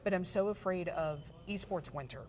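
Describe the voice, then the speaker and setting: Woman, 40s, from Pittsburgh, lowish voice, rambling and animated guest. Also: normal speed. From Pittsburgh, USA. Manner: chatty, many fillers and restarts, animated retelling. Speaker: guest, esports photographer and journalist. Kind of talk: casual interview chat.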